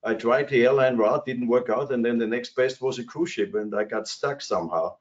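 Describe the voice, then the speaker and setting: A man's voice: mid-range voice, moderate pace, dull audio. A man in his sixties from Austria with an Austrian German accent, speaking as a cruise industry executive on a webinar panel from his office, rambling through anecdotes with many fillers and restarts. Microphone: video call.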